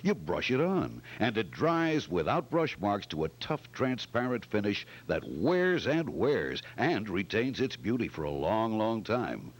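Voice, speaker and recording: Animated male old-time radio announcer. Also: lowish voice. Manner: dramatic, emphatic salesmanship.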